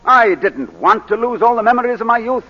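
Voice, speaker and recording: An actor playing a proud, defensive middle-aged English baronet in 1940s radio style, with clipped, dignified, guarded replies. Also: male voice, very high-pitched, animated, fairly quick, sharp tone, heavily acted. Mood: indignant. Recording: muffled old 1940s radio broadcast.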